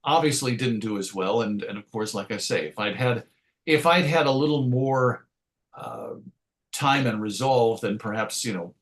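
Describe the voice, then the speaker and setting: Male interview guest, writer type: conversational, thoughtful and wordy, with hesitant phrasing and a medium-pitched voice. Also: animated, normal speed. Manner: reflective, wordy, hesitant phrasing with self-corrections and wry asides. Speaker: interview guest, comics writer and radio host.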